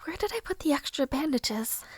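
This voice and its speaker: A woman playing a weary, mistreated young maid in an audio roleplay, musing softly to herself in formal, breathy half-whispers. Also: high voice, sing-song, slight background noise. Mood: weary, anxious. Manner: slow, soft, formal old-fashioned phrasing, musing to herself.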